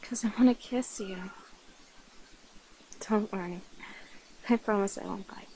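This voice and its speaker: A woman playing a flirty, affectionate, slightly nervous vampire in an intimate ASMR roleplay, speaking softly, coyly and hesitantly to the listener. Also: medium pitch, unhurried, a little hiss or hum, close to an everyday voice, rough recording. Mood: tender, flirty. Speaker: voice actor in an audio roleplay.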